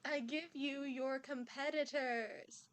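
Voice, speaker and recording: A woman's voice: sits high, steady tone, slow. A woman playing a stern but kindly older witch professor, formally addressing pupils in an amateur audio drama. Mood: ceremonial.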